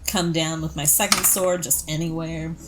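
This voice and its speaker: A woman's voice: deep voice, fairly even intonation, normal speed, background noise. A woman chatting casually as a player in a tabletop role-playing game. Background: music bed.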